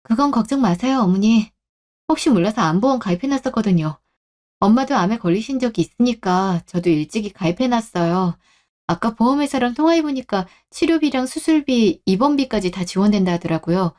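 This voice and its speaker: A patient young wife in a radio drama, played by a woman. Her voice is fairly high, and she speaks gently, with an apologetic, conciliatory manner. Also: expressive, fairly quick, natural voice. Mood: polite, reassuring. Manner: soft-spoken, conciliatory, polite. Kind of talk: Korean radio drama acting.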